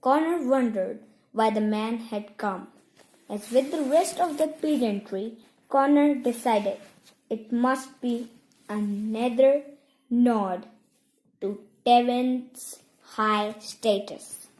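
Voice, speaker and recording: Slow, high-voiced female story reader, echoey. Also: darkish tone. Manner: measured reading in short, separated phrases. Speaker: audiobook reader. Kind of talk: scripted story narration. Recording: roomy, echoing home recording.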